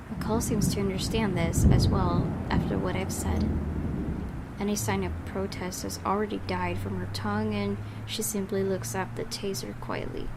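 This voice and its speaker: A woman streamer reads a game's story aloud and thinks out loud about it in a mid-range voice. A steady hiss of noise runs underneath the recording. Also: mid-range voice. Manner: thinking aloud, puzzling over the plot. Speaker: game streamer. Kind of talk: Let's Play commentary and reading aloud.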